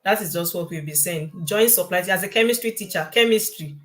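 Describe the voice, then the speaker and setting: A woman's voice: fairly low pitch, a little fast. A Nigerian woman, a science teacher, giving emphatic, reassuring advice in a casual panel chat.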